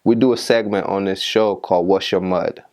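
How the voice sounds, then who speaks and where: A man's voice: deep voice, steady tone, brisk, a little mellow. A young man born in Liberia, hosting a casual podcast chat and often restarting his phrases as he talks. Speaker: podcast host.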